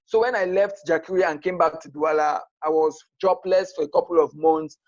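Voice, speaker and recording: A man's voice: fairly high pitch, brisk, no echo. Cameroonian man, dull dry recording. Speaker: podcast guest, YouTuber. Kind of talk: conversational personal storytelling.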